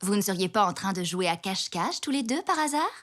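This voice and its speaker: Indulgent mother zebra, lively intonation. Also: medium pitch, fast. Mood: gently teasing. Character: calm, indulgent, gently teasing mother zebra. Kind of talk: French children's cartoon dubbing.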